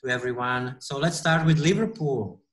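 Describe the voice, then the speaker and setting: A man with a Slovak accent hosting a webinar interview on a video call, speaking halting English with small slips. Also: medium pitch, fairly bright tone. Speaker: webinar host.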